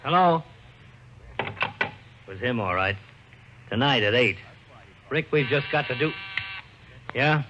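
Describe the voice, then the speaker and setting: A gruff police lieutenant in a vintage radio mystery. He is terse and skeptical, with a mid-pitched voice. The recording is muffled, with slight noise. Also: expressive, slow, pauses often. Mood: grim. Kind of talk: 1940s-50s radio detective drama acting.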